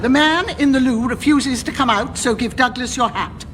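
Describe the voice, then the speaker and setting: An actress playing a bossy, sharp-tongued woman who runs a tiny airline in a radio sitcom, speaking with imperious sarcasm. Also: sits high, normal speed, big-room sound, noisy background, natural voice. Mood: exasperated, commanding.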